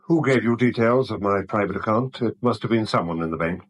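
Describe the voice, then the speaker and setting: An actor playing a guarded, coolly controlled middle-aged English bank manager in a 1970s radio drama, terse and restrained. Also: male voice, lowish voice, fairly quick, natural voice.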